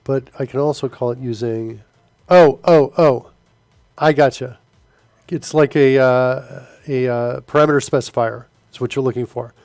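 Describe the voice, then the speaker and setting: A man's voice: mid-range voice, steady tone, moderate pace, gravelly, darkish tone, no echo. A man with a stuffy nose hosting a live coding stream, chatting loosely with viewers and using many fillers as he works. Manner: loose and filler-heavy, answers chat as he works. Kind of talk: casual live-stream chat while coding.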